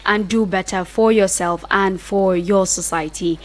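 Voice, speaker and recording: A woman's voice: medium pitch, fairly even intonation, measured pace. Nigerian woman hosting live radio. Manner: conversational, urging listeners directly. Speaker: radio host. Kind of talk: live radio talk, earnest advocacy.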